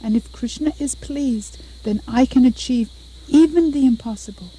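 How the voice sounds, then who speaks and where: An adult voices a small boy prince in a puppet show, determined and wounded. The voice is high and very soft-toned, with a husky edge and formal, earnest delivery. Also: normal speed, rough recording. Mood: determined, pleading.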